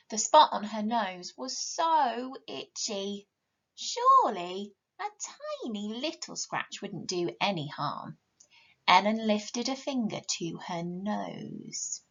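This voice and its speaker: A woman's voice: mid-range voice, husky, dull audio. A British woman in her thirties, a primary teacher talking and reading expressively to young children, often putting questions to them. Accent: British English. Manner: expressive, asks listeners rhetorical questions. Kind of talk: animated talk and storybook reading to young children.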